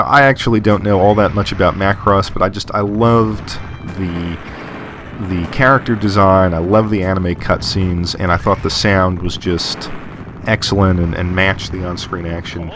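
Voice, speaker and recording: A man's voice: low-pitched, noisy background. A man doing a casual voice-over review of old console games, thinking aloud with fillers and restarts. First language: English. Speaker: game reviewer. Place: voice-over over game footage.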